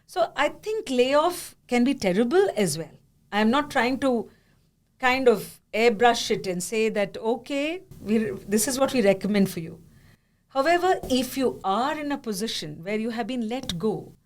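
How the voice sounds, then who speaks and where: Woman podcast host, a bit high voice, lively, laughs a lot while she talks. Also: normal speed. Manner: animated, laughing storyteller. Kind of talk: podcast conversation.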